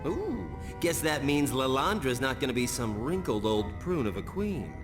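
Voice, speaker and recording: A man's voice: medium pitch, sing-song, moderate pace, long reverb tail, over music. A man playing a cheeky, youthful wisecracking superhero in a video game, with a sarcastic, quippy delivery. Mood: teasing. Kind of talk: video game cutscene acting.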